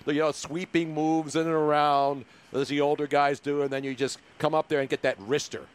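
Brash, slangy American sports podcast host. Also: male voice, on the high side, level tone, a little fast, clear, resonant tone. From Philadelphia, USA. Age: middle-aged or older. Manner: brash, slangy, bantering, often saying "man". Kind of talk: casual sports talk.

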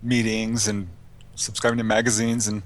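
Casual, halting man answering as a guest. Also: fairly low pitch, little pitch movement. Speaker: interview guest, UFO investigator. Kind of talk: casual conversational interview answers.

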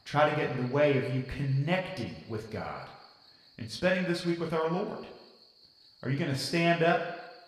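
Man playing an animated preacher in an echoing hall. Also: fairly high pitch, normal speed, lightly acted. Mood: earnest, exhorting. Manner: rhetorical questions, conversational tag words like "right?" and "okay?". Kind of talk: sermon to young campers. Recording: echoey hall, distant from the mic.

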